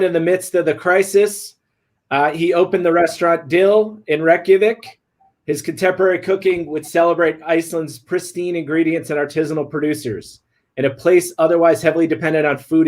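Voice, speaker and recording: A man hosting an online talk stream, upbeat and laid-back, running through housekeeping and introducing a guest. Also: fairly high pitch. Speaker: host. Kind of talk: casual livestream hosting.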